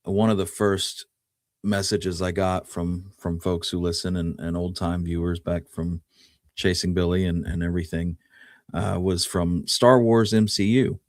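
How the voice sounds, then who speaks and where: A man with a low-pitched voice talks casually as the co-host of a podcast. He is laid-back and conversational. The sound is dry, without room echo. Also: little pitch movement, measured pace, darkish tone. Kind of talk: casual chat.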